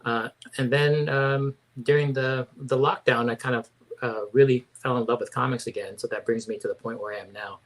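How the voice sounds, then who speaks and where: A man in his fifties, a comic book artist being interviewed, speaking hesitantly with many pauses and restarts. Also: medium pitch, fairly even intonation, rough recording. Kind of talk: casual interview.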